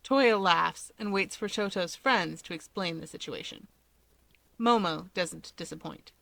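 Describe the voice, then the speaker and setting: Bright-voiced woman narrating a story. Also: fairly low pitch, a little slow, creaky, no room sound. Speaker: fan fiction reader.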